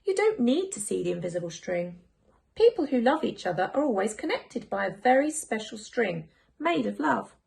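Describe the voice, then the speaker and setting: Woman playing a soothing, expressive storybook mum. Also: on the high side, normal speed, creaky, heavily acted. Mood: reassuring. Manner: soothing, patient. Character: gentle, reassuring mother of young twins. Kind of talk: children's picture book read aloud.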